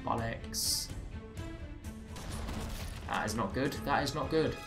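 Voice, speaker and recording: A man's voice: mid-range voice, slow pace, vocal fry. Chatty English man streaming a game. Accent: Southeast English, slightly Americanized. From England. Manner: chatty and rambling, with wry self-mocking asides. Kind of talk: live gameplay commentary. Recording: roomy echo with game music behind. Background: game music.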